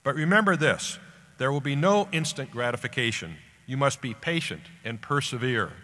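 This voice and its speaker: An older American man, around seventy, delivering a formal scripted commencement address at a podium microphone in deliberate, emphatic phrases. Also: medium pitch, animated, sharp tone. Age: older, about 70. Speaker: guest speaker at a graduation ceremony. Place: large indoor auditorium stage at a podium. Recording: echoey hall public-address sound.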